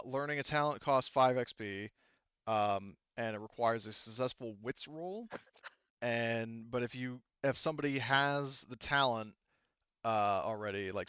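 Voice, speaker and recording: Male game master, smooth and informal, halting as he instructs; close, dry, slightly muffled sound. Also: medium pitch, fairly bright tone, dry recording. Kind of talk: explaining tabletop game rules, casual.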